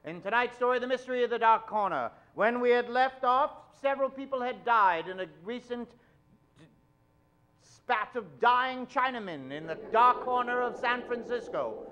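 A man's voice: very high-pitched, lively intonation, moderate pace, bright, forceful tone, highs cut off. A man in his forties hosting and narrating in a theatrical old-time radio style on a stage. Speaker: host and narrator. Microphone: vintage-style stand microphone.

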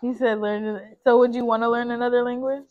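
A young woman sitting for a portrait in a small home studio, chatting casually, curious and quick to laugh. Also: fairly high pitch, level tone, phone-quality sound. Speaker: sitter and guest. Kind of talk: casual chat.

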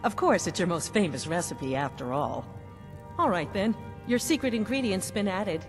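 A woman acts a fawning castle chef for a fantasy game. Her voice sits a little low, formal and nervous, with halting, gushing delivery. It rings in a large hall with music underneath. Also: lively intonation, natural voice. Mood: deferential, unsure. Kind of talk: fantasy video game dialogue.

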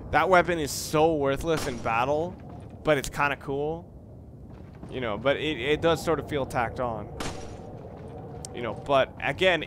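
A man with a mid-pitched voice records casual let's play commentary over clearly audible noise. He talks in a reflective, halting way, rambling through his thoughts as he plays.

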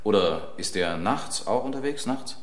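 Actor as lowish-voiced police detective. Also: male voice, lively intonation, normal speed, close to an everyday voice. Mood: probing. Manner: formal, probing. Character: polite, matter-of-fact male police detective. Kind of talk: German radio crime drama acting.

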